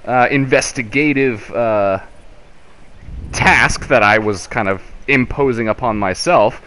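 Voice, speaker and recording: A man co-hosting a casual video game chat, explaining things in a halting, rambling, self-correcting way. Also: mid-range voice, fairly bright tone. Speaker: podcast co-host.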